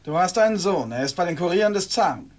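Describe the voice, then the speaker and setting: A menacing military commander in a radio drama. His fairly high voice swings in pitch as he questions coldly and gives orders. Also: animated, fast. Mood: cold, probing. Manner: commanding, threatening. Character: menacing male Tatar commander, cold interrogator. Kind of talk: German radio play acting.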